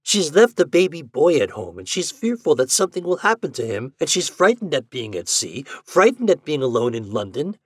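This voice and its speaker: A man's voice: medium pitch, big pitch swings, moderate pace, creaky, slightly rough, dry recording, clean studio sound, pitched above the performer's own voice, exaggerated voice. A man voicing a kindly, fretful old doctor in an audiobook, speaking earnestly and emphatically. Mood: worried, pitying. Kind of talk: audiobook character dialogue.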